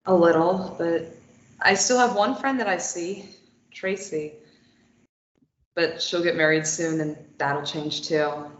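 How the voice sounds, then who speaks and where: A woman reading a defiant captive young woman at a table read, firing back quick retorts. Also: on the low side, fairly even intonation, reverberant, muffled recording. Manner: quick retorts, tense and pleading when threatened.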